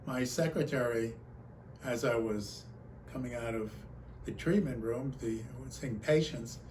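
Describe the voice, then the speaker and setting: A man giving a talk as a personal storyteller, recounting remembered exchanges in an animated manner. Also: medium pitch, a little slow, a little hiss or hum, poor audio. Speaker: conference speaker.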